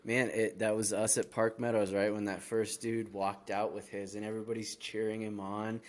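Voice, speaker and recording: A man's voice: on the low side, little pitch movement, moderate pace. A man co-hosting a gaming podcast, talking casually. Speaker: podcast co-host.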